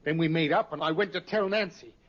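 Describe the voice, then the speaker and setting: A man's voice: on the high side, brisk, a bit bright. A man playing an evasive, defensive farmer suspected of murder in a 1950s radio drama. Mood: flustered. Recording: muffled vintage radio recording.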